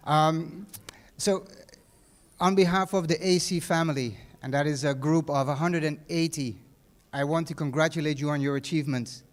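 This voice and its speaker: A middle-aged man with a Dutch accent giving a casual, rambling graduation speech in a large hall, full of fillers and restarts. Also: on the high side. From Netherlands. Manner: conversational, many fillers and restarts. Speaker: guest speaker.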